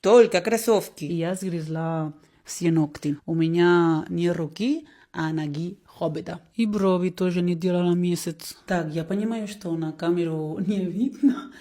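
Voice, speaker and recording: A woman's voice: fairly low pitch, measured pace, mellow. A woman from Spain speaking with a Spanish accent, Catalan being her native tongue. She talks casually and jokes at her own expense, like a personal vlog. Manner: self-mocking, chatty, jokey. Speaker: vlogger.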